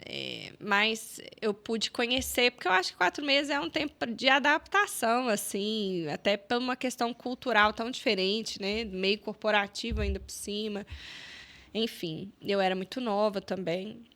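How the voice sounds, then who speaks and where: A woman with a Brazilian Portuguese accent, a podcast guest recounting her career in a lively, chatty way full of fillers and quoted self-talk. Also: mid-range voice, normal speed. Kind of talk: casual storytelling interview.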